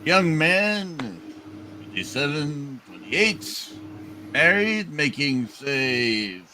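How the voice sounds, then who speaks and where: A man puts on a pompous old tycoon for a radio play. He is deliberate and wheedling, with a bright, forceful edge and lively pitch, over noticeable background noise. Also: full character voice. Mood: scheming. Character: Mister Potter, scheming old male small-town tycoon. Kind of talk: hammed-up live radio play reading.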